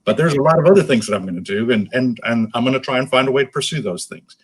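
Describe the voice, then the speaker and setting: A man's voice: mid-range voice, fast, slight background noise. A man speaking as an interview guest, answering conversationally in an earnest, explanatory way. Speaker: interview guest, nonprofit executive.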